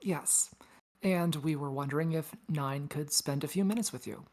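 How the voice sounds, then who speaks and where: A woman's voice: low-pitched, normal speed, a little mellow. A woman playing a wry, teasing big-sister space probe in a casual sci-fi table read. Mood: breezy, friendly. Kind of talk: table read of a science fiction story.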